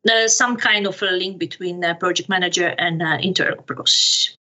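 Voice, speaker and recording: Bright, halting Serbian-accented woman. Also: lowish voice. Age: middle-aged. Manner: halting, searching for words, non-native phrasing. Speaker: podcast guest, project management officer. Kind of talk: conversational interview answers.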